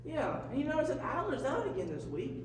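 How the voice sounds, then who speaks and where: A man's voice: extremely high pitch, wildly swooping pitch, quick pace, heavily acted. A man voicing a jovial, folksy radio co-host puppet. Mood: genial, teasing. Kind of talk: comedic radio talk show skit. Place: live performance in a large hall. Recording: very echoey hall, distant mic, faint noise.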